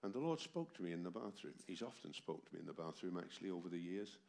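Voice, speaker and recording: A very deep-voiced man in his seventies preaches into a microphone in a church hall, his voice worn by a summer cold. He speaks emphatically and keeps turning to the congregation with rhetorical questions. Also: big pitch swings, fast talker, gravelly, darkish tone. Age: 70s. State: tired voice from a heavy cold. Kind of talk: sermon. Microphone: amplified microphone.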